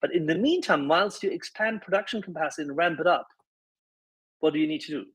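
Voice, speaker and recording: A man's voice: a little high, quick pace, breathy edge, low-quality recording. A man on a live audio panel arguing politics emphatically, insistent and repeating words for emphasis.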